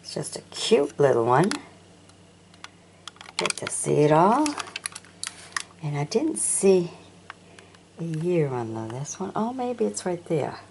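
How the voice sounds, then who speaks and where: A low-pitched older woman with a gravelly edge talks slowly and fondly about old toys. Her voice rises and falls a great deal as she admires each one. A faint hiss sits behind her. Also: big pitch swings, a little mellow. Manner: chatty, admiring, asks the viewer questions. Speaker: toy collector showing auction finds. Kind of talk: casual show-and-tell narration. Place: indoors at a sunny windowsill.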